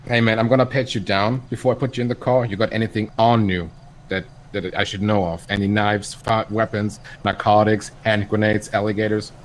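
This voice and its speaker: Man, low voice, improvising a police officer for game role-play; informal procedure talk, slight background noise. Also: little pitch movement, a little fast.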